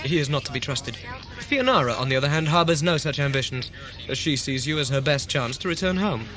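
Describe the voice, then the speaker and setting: A man's voice: on the high side, expressive, fairly quick, crowd noise, lightly acted. Stern, formal starship commander, played by a man. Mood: commanding, calculating. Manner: formal, clipped, authoritative. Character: stern, calculating male corporate starship commander. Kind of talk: audio drama acting.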